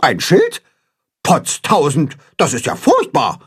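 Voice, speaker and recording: A man's voice: a little high, huge pitch swings, slow, a bit bright, slightly rough, voice pushed up, exaggerated voice. A man voicing an agitated, scolding gander who dramatizes everything in a children's story. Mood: indignant alarm. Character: blustering, alarmist gander with old-fashioned exclamations.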